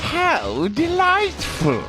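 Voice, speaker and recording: A man's voice: very high voice, wildly swooping pitch, over loud music, heavily acted. A man voicing a pompous, aristocratic frog crime boss in a cartoon video game, theatrical and mock-polite, with an explosive temper. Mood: mock-polite menace. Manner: theatrical, mock-polite, drawn-out, bursting into shouts.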